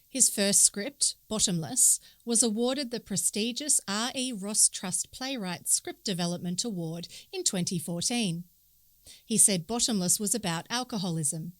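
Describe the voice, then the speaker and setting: A woman reads a written feature aloud as scripted narration. Her pitch sits in the middle for a woman. The recording is dry, with very little room around the voice. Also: measured pace, no room sound. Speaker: newspaper article reader.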